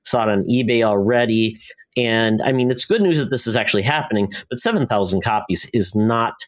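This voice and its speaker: A man's voice: lowish voice, fairly quick. A man co-hosting a podcast in a casual, opinionated, conversational way. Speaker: podcast co-host. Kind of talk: casual discussion of comics and media.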